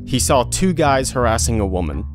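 A man's voice: medium pitch, big pitch swings, moderate pace, music in the background. A man narrating a scripted audio drama as storyteller.

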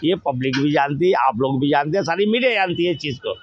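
High-pitched, emphatic man. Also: expressive, fast talker, a little hiss or hum. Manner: emphatic, repeats rhetorical questions. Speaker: local resident interviewed on the street. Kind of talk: street interview, animated opinion. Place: outdoors in a busy market street. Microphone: handheld reporter's microphone. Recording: dull, lo-fi street recording.

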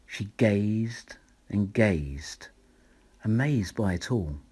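A man reading a rhyming children's picture book aloud as a bedtime story, in a measured, dramatic way. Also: lowish voice, expressive, darkish tone, breathy edge.

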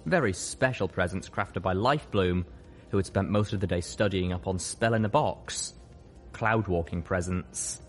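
A man with a fairly low voice narrates a story in the first person, as an audiobook reader would. Music plays behind his reading. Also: normal speed.

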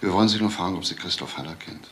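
An actor playing a shrewd, deliberate police detective in a German crime radio play, musing and often tagging questions on. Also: male voice, low voice, fairly bright tone. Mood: probing, musing. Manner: musing, repeats words, questioning tags. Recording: faint noise and clicks, older radio play.

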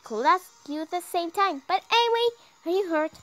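A young woman reading a visual novel aloud, halting and stumbling over words as she narrates dramatically. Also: very high-pitched, animated, a bit bright. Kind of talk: dramatic read-aloud of game text. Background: music.

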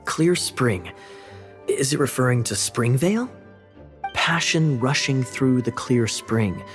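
A charming, wry young knight captain; easy teasing delivery, faint game music behind. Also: male voice, medium pitch, expressive, takes it slowly. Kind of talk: game dialogue.

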